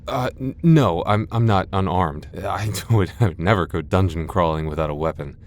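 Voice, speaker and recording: A man playing a timid, soft-hearted young knight in an ASMR fantasy roleplay, stammering nervously and putting himself down. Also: deep voice, moderate pace, natural voice. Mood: flustered, eager. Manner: stammering, self-deprecating, nervous.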